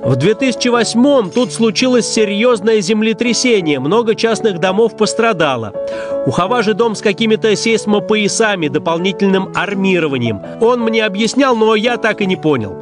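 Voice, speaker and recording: A man's voice: high-pitched, expressive, moderate pace. A man presenting a travel documentary as reporter, reading scripted narration in a storytelling way. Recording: music bed under the voice. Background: music.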